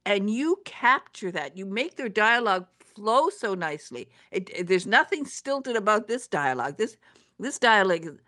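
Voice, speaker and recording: A woman's voice: medium pitch, big pitch swings, moderate pace, bright, forceful tone, no echo. Emphatic woman interviewer, close dry sound. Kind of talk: conversational book interview.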